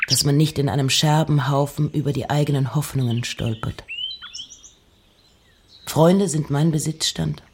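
A woman playing a reflective, intense poet in a slow, intimate dramatic recitation of letters and verse. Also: deep voice, fairly even intonation, a little hiss or hum. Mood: intimate, musing. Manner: measured, intimate, often dropping to breathy whispers.

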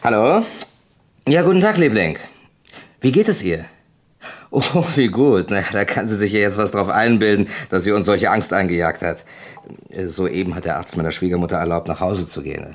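Deep-voiced, polite businessman. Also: moderate pace. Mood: polite, concerned. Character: businessman son-in-law of murdered boss, polite, composed. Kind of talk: radio play acting.

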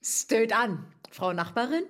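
A woman's voice: fairly high pitch, animated, slow, fairly bright tone. An actress playing an obliging, easily surprised young woman in a radio comedy, with a Northern German accent. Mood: cheerful.

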